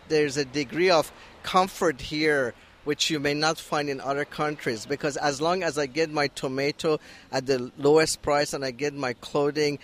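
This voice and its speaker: Young male activist, Iranian accent, halting and filler-heavy, recorded outdoors on a handheld mic with faint traffic behind. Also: fairly high pitch, a bit bright. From Iran. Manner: hesitant, many restarts and fillers. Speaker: activist at a rally. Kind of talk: street interview. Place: outdoors at a street protest. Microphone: handheld interviewer mic.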